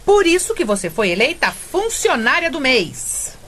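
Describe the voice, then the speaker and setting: A woman's voice: high-pitched, big pitch swings, measured pace, a bit bright, slight background noise, heavily acted. A wheedling scheming mother. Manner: coaxing, wheedling. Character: scheming mother Nélia, sweet-talking cook. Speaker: radio drama actress. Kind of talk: radio soap acting.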